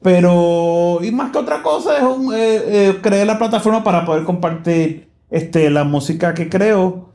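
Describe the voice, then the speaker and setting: A man's voice: sits high, expressive, measured pace, few pauses, mellow. Chatty man hosting a Spanish-language podcast. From South Texas. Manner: chatty, rambling with many fillers and restarts, laughs easily. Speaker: podcast host. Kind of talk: casual podcast chat. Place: church live room.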